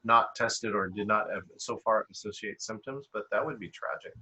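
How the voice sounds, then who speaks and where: Guy, bright forceful voice with some rasp, leading a casual call. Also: lowish voice, normal speed, poor audio. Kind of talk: casual group discussion.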